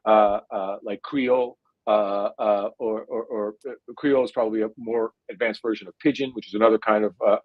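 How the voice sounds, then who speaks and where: A man's voice: on the low side, dry recording, muffled recording. Hesitant man with a New Jersey accent. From India. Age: 40s. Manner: thoughtful, hesitant, full of drawn-out "uh" fillers. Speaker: guest neuroscientist. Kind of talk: conversational interview answer.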